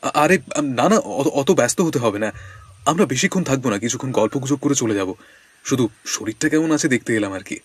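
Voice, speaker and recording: A man playing a calm, shrewd detective in an audio drama, measured and assured in his reasoning. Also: mid-range voice, husky, no room sound. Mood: polite.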